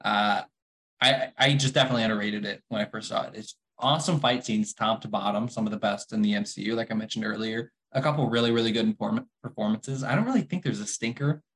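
A man's voice: on the low side, fast talker, a bit bright, poor audio. A young adult man co-hosting a casual podcast, opinionated and wry, often breaking into laughter. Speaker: podcast co-host.

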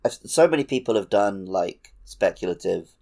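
Male podcast co-host, low and gravelly, relaxed conversational style. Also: normal speed, slight background noise. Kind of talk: casual podcast discussion.

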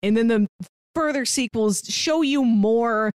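A woman's voice: a little high, unhurried, clean tone, no room sound. Dry-sounding woman podcast co-host. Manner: chatty, wry, laughing asides. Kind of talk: casual chat.

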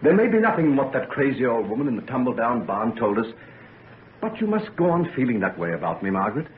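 Through a thin, hissy old radio recording comes an ardent young gentleman, acted by a man. He is earnest and remorseful yet sure of himself, with a lively, swooping delivery in vintage drama style. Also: medium pitch, fast, natural voice. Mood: insistent. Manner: earnest, pleading, impassioned. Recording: noisy, narrow-band vintage radio recording.

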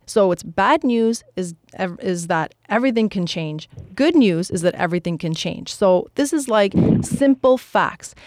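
A woman's voice: medium pitch, darkish tone, no room sound. A woman hosting a radio health show, reading a scripted intro and asking interview questions.

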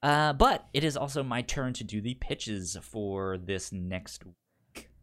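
Stuttering man with lively, swooping pitch. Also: slow pace. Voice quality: pronounced stutter with repeated sounds and syllables. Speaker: podcast co-host. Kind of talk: casual podcast chat, reading synopses aloud.

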